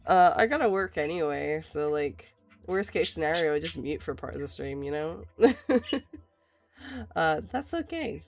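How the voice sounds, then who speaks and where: Hoarse woman, raspy and gravelly, rambling and reassuring, faint music underneath. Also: animated, normal speed, darkish tone. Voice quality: raspy, lower than usual. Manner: laughs often, reassuring, rambling. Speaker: streamer. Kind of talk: casual chat while drawing.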